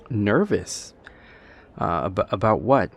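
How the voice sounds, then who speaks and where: A man playing a nervous vampire boyfriend who is afraid of flying, speaking haltingly with stammers in an intimate audio roleplay. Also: on the low side, sing-song, background noise, exaggerated voice. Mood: nervous, uneasy.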